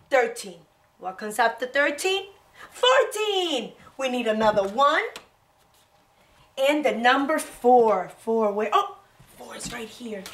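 A middle-aged woman teaching preschoolers in a classroom, talking young children through each step in an encouraging, animated way. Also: high voice. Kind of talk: children's educational demonstration. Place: indoor classroom.

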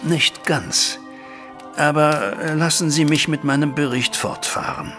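An actor playing a troubled middle-aged banker, a widowed father, recounting events formally and deliberately in a German audio drama. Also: fairly high pitch, fairly even intonation, lightly acted. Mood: grave, agitated. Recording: music bed behind voice.

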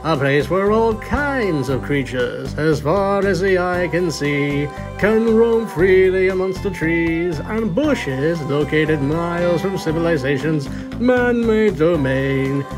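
A man's voice: on the high side, animated, takes it slowly, hardly any pauses. A man reading scripted storybook-style narration of a cartoon story in a theatrical, presenting manner. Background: music behind the voice.

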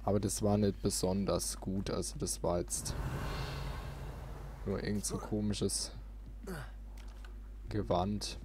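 A man with a low voice gives casual, off-the-cuff gameplay commentary. There is faint noise behind him as he talks through what he is doing. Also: little pitch movement, slow, long pauses. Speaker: let's player.

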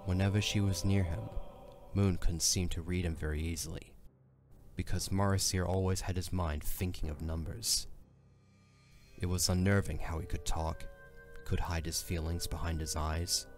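Deep-voiced man narrating a story. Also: steady tone, moderate pace, music in the background. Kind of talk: scripted dramatic story narration.

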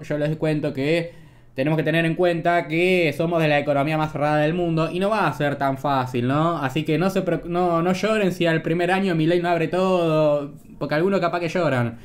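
A man with an Argentine Rioplatense accent giving casual, slangy, rambling political commentary. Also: a little high. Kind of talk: informal opinion commentary.

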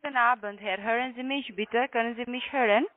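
A woman's voice: a little high, a little fast, dry recording. A non-native woman with a foreign accent, speaking politely and hesitantly as a call centre sales agent on a tinny phone line. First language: not German.

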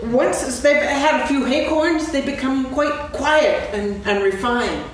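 A bouncy, boastful young tiger, as a woman voices him, pitched high and drawn out slowly, with a lively swing to her voice. The sound is distant and very echoey, with hiss behind it. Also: a crowd in the background. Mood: eager. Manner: eager and boastful. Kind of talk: staged reading of a children's play. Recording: echoey stage, distant mic, background noise.

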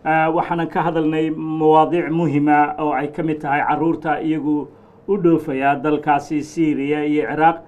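A middle-aged man from Somalia hosting a TV studio discussion, speaking haltingly with many fillers and restarts. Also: fairly high pitch, little pitch movement, a little mellow, dull audio. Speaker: TV talk show host. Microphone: lapel mic.

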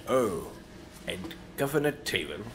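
A man playing a menacing, suspicious old galactic Emperor in a sci-fi audio drama, with drawn-out breathy menace. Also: on the low side, very animated, background noise. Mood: cold, threatening. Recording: prominent film music behind the voice.

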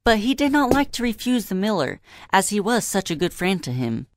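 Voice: mid-range voice, big pitch swings, brisk, no room sound, studio quality. A narrator reading a scripted classic story in storybook style. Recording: clear background noise.

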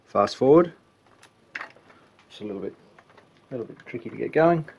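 A man's voice: mid-range voice, lively intonation, normal speed, gravelly, darkish tone, muffled recording, a little hiss or hum. A man giving an informal, step-by-step repair walkthrough at a workbench. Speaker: repair hobbyist demonstrating a belt change. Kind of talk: casual hands-on repair walkthrough.

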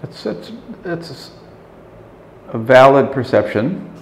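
A man in his sixties, an interview guest, talking in a measured, thoughtful way with frequent restarts in an office meeting room. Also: mid-range voice, expressive, noisy background. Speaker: interview guest, leadership consultant. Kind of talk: reflective interview talk. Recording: roomy indoor sound.

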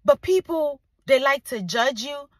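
A woman's voice: sits high, lively intonation, measured pace, no room sound, clean studio sound. Ghanaian-American woman, emphatic and passionate. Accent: between Ghanaian and American. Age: 40s. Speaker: content creator. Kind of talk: direct-to-camera vlog.

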